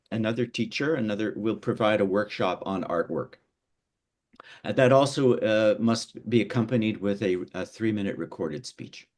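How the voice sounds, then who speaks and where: A middle-aged man from Canada teaching an online workshop over a video call, halting often with fillers and repeated words. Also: lowish voice, darkish tone.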